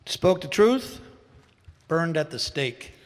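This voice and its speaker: Slow, higher-voiced man in a hall. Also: big pitch swings. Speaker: reader of short personal statements. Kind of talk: scripted recitation of short statements. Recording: long hall reverb.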